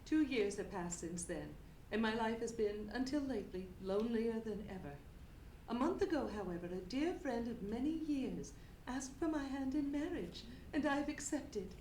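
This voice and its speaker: Woman playing a frightened high-voiced young gentlewoman. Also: animated, moderate pace, close to an everyday voice. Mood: sombre, earnest. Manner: formal, dramatic storytelling. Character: frightened, grieving young gentlewoman recounting her terror. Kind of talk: live old-time radio drama acting. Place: theatre stage. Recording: echoey theatre stage, noisy live recording.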